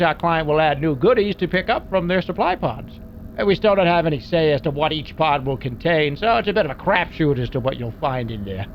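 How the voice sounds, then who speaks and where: A man playing a wisecracking, gun-loving mech mechanic in a video game, with folksy, slangy talk. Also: sits high. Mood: folksy, proud. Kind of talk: video game character voice lines.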